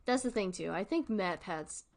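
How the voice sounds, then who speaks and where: A woman's voice: mid-range voice, expressive, moderate pace. A woman streamer giving casual livestream commentary in an informal, rambling, giggly way.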